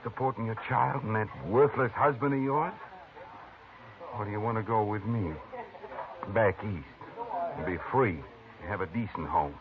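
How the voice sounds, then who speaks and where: An actor playing a scheming, crooked frontier card dealer in a 1950s radio western, coaxing and menacing by turns. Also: male voice, lowish voice, normal speed, pauses often, crowd noise, natural voice. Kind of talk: 1950s radio drama acting. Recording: tinny, noisy old radio transcription.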